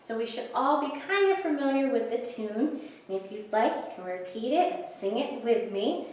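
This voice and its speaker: A woman's voice: on the high side, expressive, normal speed, a little mellow, muffled recording. Echoey, distant woman hosting children's story time. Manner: simple, encouraging address to young children. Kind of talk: children's story time, reading and singing along. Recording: very echoey room, far from mic.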